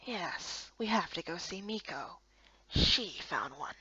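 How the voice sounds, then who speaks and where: A woman voicing a cool, teasing young guardsman in a hushed voice for a visual novel. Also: fairly low pitch, animated, slow, slightly rough, no echo, lo-fi sound, pitched below the performer's own voice, heavily acted. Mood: brusque, serious.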